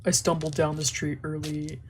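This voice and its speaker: A woman's voice: sits low, completely flat delivery, normal speed, a little hiss or hum. A woman narrating a visual novel aloud as a let's play, with casual, slangy asides.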